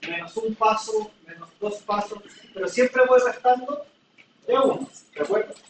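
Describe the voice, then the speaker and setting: A man's voice: high voice, normal speed, slight background noise. A man teaching a class in a classroom, patiently explaining with everyday examples and often checking that students follow. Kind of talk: classroom lecture. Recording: echoey room, from far off.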